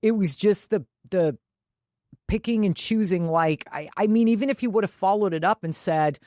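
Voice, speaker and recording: A woman co-hosts a sports podcast in a medium-pitched voice. She chats casually and tells stories by quoting herself and others as she goes. The recording is dry, with little room sound. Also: moderate pace, mellow, no room sound. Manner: conversational, quotes herself and others. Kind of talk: casual chat, animated retelling.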